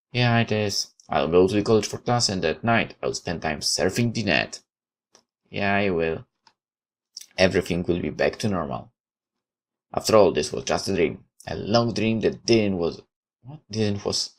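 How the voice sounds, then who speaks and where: A man hosting a gaming video, reading a visual novel aloud in a halting, dramatic way with asides. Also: low-pitched.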